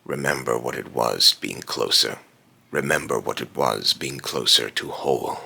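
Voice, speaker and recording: A man voicing an ominous immortal being in a theatrical villain monologue, grave and condescending, with solemn, grandiose phrasing and a gravelly, breathy rasp. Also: very deep voice, normal speed, pushed and bright, full character voice. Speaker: voice actor.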